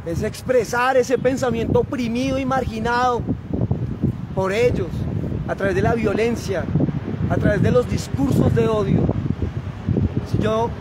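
A man in his forties, speaking in a high, urgent voice, rattles off emphatic rhetorical lists like a protest speech. He holds his phone at arm's length on an open plaza. Heavy wind roar and traffic batter the mic. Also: steady tone, unhurried, pauses often. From Colombia. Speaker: activist. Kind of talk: impassioned political speech to camera. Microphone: phone camera at arm's length.

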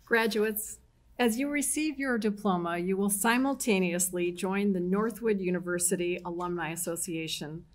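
A woman acting as ceremony emcee, making formal introductions in a crisp way. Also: medium pitch, normal speed. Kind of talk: formal announcements.

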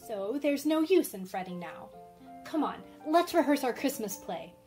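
A woman's voice: fairly high pitch, sing-song, normal speed, darkish tone, soft background music. A woman playing a spirited, ambitious, bossy young sister in an old-time radio drama. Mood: eager, dreamy.

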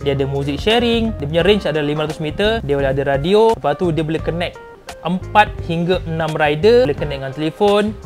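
Higher-pitched Malaysian man vlogging over music. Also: animated, fast talker. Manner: informal, enthusiastic presenter with slang and catchphrases. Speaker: motovlog host. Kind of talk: casual product unboxing vlog. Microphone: clip-on lapel mic.

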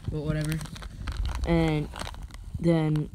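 A man's voice: a little high, monotone, slow pace. A man casually showing his fishing tackle, hesitant and rambling, with unsure asides, recorded on a phone in a carpeted room at home. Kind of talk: casual unscripted show-and-tell. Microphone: phone camera held over the gear. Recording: phone recording with clear background noise.

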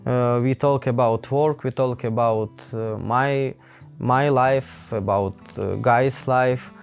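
Slow, halting young man, Ukrainian accent. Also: medium pitch, steady tone, creaky, clean tone. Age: young adult. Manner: halting and hesitant, with many pauses and fillers. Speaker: vlogger. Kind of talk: unscripted casual talk to camera. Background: faint guitar music.

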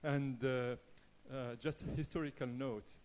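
A man whose first language is Arabic, speaking up from the audience with a halting, hesitant comment. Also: medium pitch, steady tone, a little mellow. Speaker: audience member. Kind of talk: question from the audience.

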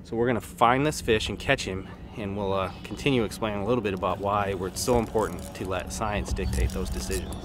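A man's voice: fairly low pitch, a little fast, hardly any pauses. A man in his thirties hosting an outdoor show, casually explaining things while fishing from a boat on a lake. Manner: easygoing, explanatory, with fillers.

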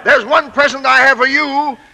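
Creaky, pompous, outraged businessman. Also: extremely high pitch, fairly even intonation, moderate pace, crowd noise. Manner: blustering, imperious, sputtering. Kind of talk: 1940s radio acting. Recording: noisy old 1940s radio broadcast.

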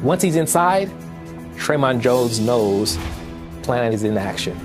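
A man's voice: medium pitch, lively intonation, a little slow, music in the background. A man in a news interview, giving a formal explanation of a criminal case. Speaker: lawyer interviewed in a news report.

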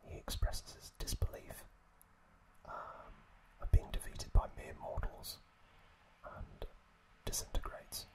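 A man whispering an ASMR story recap in a hushed, unhurried, hesitant way as the host. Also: low-quality recording.

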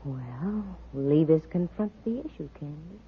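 An actress playing a dry, wisecracking, brisk female private eye in a 1940s radio detective drama. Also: deep voice, expressive, heavy background noise. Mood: musing. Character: wry, brisk female private eye, slangy and coolly scheming. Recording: muffled, phone-like vintage radio recording.

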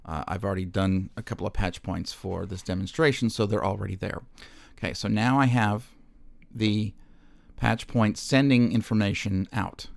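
A man presenting an informal step-by-step music software demonstration, haltingly thinking aloud as he goes. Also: fairly low pitch, gravelly, no echo. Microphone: microphone recorded straight into screen-capture software.

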